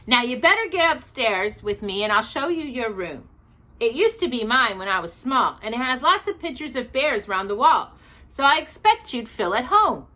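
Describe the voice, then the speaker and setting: Woman voicing a chatty, bright young girl. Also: fairly high pitch, sing-song, normal speed, voice pushed up. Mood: eager. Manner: chatty, eager, reassuring.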